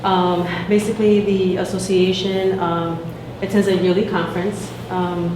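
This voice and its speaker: A woman's voice: fairly low pitch, little pitch movement, normal speed. A woman, secretary of a student association, giving a report to a meeting with many fillers and restarts. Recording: echoey room with noticeable noise.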